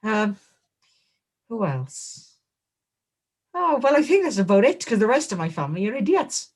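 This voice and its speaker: A man giving a comedic, in-character interview as a guest wrestler, deadpan, boastful and absurd. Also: sits high, moderate pace.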